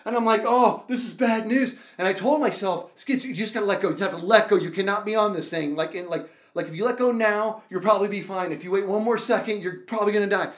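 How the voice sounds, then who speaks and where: A man telling a comic personal story in an excitable, dramatic way, acting out reactions and dialogue as he goes. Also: high-pitched, fast, hardly any pauses, roomy sound. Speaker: storyteller, show host. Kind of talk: animated comedic personal storytelling.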